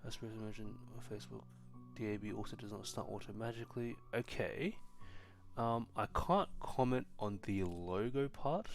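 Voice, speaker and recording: A man's voice: lowish voice, moderate pace, faint music behind. A young man, born in China, hosting a casual tech live stream, answering chat questions with lots of ums and restarts. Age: young adult. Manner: relaxed, frequent ums and restarts.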